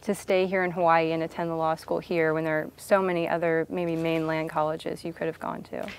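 A young woman hosting an interview, asking measured, polite questions on a lapel mic in a studio. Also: low-pitched, flat delivery, slight background noise. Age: young adult. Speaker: interview host. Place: dark studio set.

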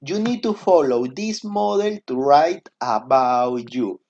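A man's voice: medium pitch, slow, a little mellow. A Peruvian man in his twenties, a native Spanish speaker, teaching schoolchildren in a recorded lesson with encouraging, simply repeated instructions. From Peru. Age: 20s. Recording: dull, lo-fi screen-recording audio.

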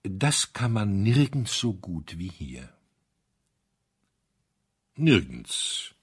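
A venerable old gentleman from an audiobook, as a male narrator plays him, deep-voiced and very husky. He delivers slow, weighty pronouncements with solemn, dismissive dignity. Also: sing-song, heavily acted. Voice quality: deep bass.

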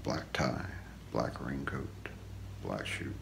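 Very deep, gravelly, hushed middle-aged man. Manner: hushed, deliberate, often breaking into near-whisper. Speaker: ASMR role-play narrator. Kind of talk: ASMR true-crime narration read from notes. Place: small room indoors. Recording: faint background noise.

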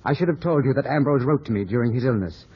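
An actor playing an earnest, brooding, conflicted young gentleman in a 1950s radio drama, with a husky voice. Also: mid-range voice, lively intonation, fast. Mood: halting, confessing. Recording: dull vintage radio recording with music.

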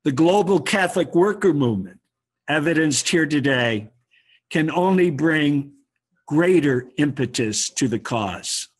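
The man who gives the formal closing note at an online memorial talk, solemn and deliberate, his voice pitched a little high. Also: expressive, breathy edge. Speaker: speaker on a webinar. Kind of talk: prepared formal remarks. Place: indoor room, video call.

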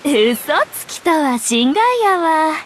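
A woman's voice: high-pitched, animated, slow pace, a little hiss or hum. A woman playing a breezy, teasing young journalist and playful liar in an anime game, speaking in a coquettish, lilting Kansai dialect. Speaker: game voice actor. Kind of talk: Japanese anime game voice acting.

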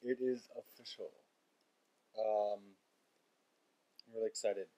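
A man's voice: fairly low pitch, steady tone, slow, mellow, poor audio. A man in his twenties talking casually in a weary, dryly sarcastic, grumbling way, as in a rambling video diary at home on a webcam. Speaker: vlogger. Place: room in a house.

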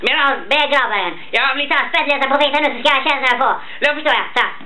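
A man voicing a playful, fatherly cartoon bear, his voice squeaky from helium. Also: bright, forceful tone, full character voice, low-quality recording. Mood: playful, boisterous. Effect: helium-altered squeaky voice. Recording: faint background noise, amateur camera.

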